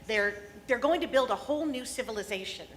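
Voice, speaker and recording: A woman playing an encouraging older mentor in a live radio play, earnest, measured and persuasive. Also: on the high side, lively intonation, fairly bright tone, slight background noise. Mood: earnest, wistful. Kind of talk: live radio theatre acting.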